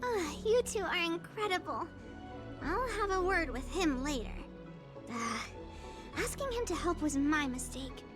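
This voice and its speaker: Really high cute shop girl, earnest and sorry-sounding, game npc, music under her. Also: very animated, unhurried, full character voice. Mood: apologetic. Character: sweet, apologetic young female shop clerk. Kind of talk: video game voice acting.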